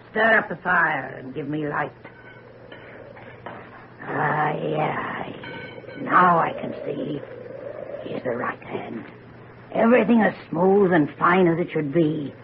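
A tinny, slightly noisy old radio recording carries an ominous old country woman who tells fortunes. Her speech is rustic and foreboding, with a sharp tongue, and her voice sits fairly low for a woman. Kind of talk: old-time radio drama acting.

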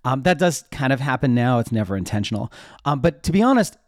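A man's voice: medium pitch, sing-song, quick pace, a little mellow, no echo. A man giving casual interview answers in an informal, rambling way, often interrupting himself. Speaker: interview guest, web developer and newsletter writer.

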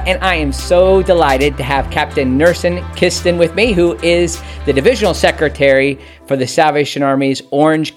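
A man hosting a podcast interview, asking questions in an eager way and often restarting his sentences. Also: fairly high pitch. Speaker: podcast host.

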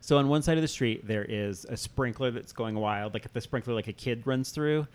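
A man's voice: mid-range voice, normal speed, darkish tone, clear, resonant tone. A man hosting a podcast in casual, comedic chat, talking conversationally with lots of filler "like"s. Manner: conversational, peppered with "like". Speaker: podcast host. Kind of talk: casual comedic chat recapping a music video.

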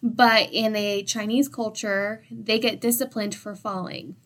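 A woman's voice: medium pitch, steady tone, unhurried, a bit bright. A young woman co-presenting in a loose, conversational way, often using "like" as filler. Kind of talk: casual discussion.

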